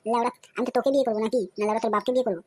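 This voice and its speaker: A pushy, menacing young cartoon lad acted by a woman with a West Bengal accent. He badgers crudely at breakneck speed in a highish voice, over clear background music. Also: fairly even intonation, very fast, very noisy, close to an everyday voice. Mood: angry bullying. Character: brash, foul-mouthed young male suitor, pushy and menacing. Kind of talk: comedy cartoon dialogue. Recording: music behind the voice.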